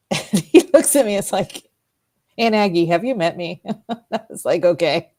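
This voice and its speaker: A woman's voice: on the low side, expressive, unhurried, warm tone. A middle-aged woman co-hosting a radio talk show, telling rambling personal stories and laughing often. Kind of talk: casual storytelling chat. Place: radio studio.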